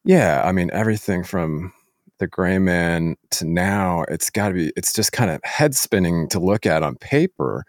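A low male voice in a very dry recording. He interviews as a podcast host, speaking casually and conversationally, with an admiring, affirming way toward his guest. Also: lively intonation, normal speed, no echo.

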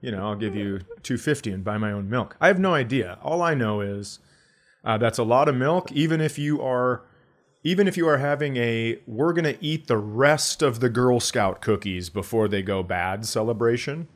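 A man's voice: mid-range voice, normal speed. Sardonic middle-aged man riffing on a podcast. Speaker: podcast co-host and comedian. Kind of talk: casual comedic banter.

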